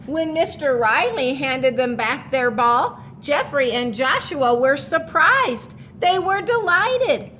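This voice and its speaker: A woman's voice: high-pitched, expressive, takes it slowly, few pauses, sharp tone, a little hiss or hum. A woman reading a picture book aloud to children like a teacher, expressively stretching key words and adding explanatory asides for young listeners.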